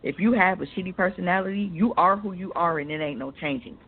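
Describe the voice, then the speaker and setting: A woman's voice: lowish voice, fast talker, slightly rough. A woman in her thirties with an American accent, chatting casually in a blunt, profane and emphatic way. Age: 30s. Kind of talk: casual chat. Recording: thin phone-like audio.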